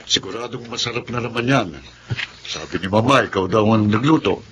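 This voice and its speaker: Low-voiced Filipino father, played by a man. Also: fairly quick, background noise, close to an everyday voice. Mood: encouraging. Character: supportive, well-off businessman father, middle-aged. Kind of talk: Tagalog radio drama acting.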